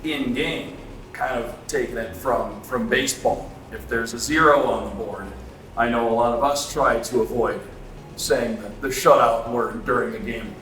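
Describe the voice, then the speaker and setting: A man's voice: medium pitch, normal speed, a bit bright. Man interviewing in an echoey room. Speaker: interviewer, sports broadcaster. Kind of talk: sit-down sports interview. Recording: echoey room with faint ambient noise.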